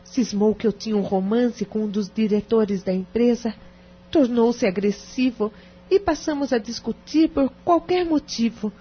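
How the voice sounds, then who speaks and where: A woman's voice: fairly high pitch, measured pace, breathy edge. A Brazilian woman playing a distressed, sincere wife in an audio drama, speaking formally and earnestly. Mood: earnest, pleading. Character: distressed, sincere wife pleading her case to a lawyer. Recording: dull, muffled radio drama recording.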